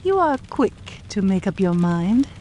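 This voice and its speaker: Man or actor voicing a high, unhurried young woman. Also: very animated, a little mellow, a little hiss or hum. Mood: welcoming. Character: friendly young woman keeping her father's boarding house.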